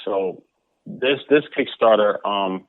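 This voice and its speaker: Over a tinny, phone-like connection, a man speaks in a fairly low voice. He answers interview questions casually and conversationally, with a dry sound free of room echo. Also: takes it slowly. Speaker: interview guest, comic book creator. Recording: narrow, tinny phone-like line.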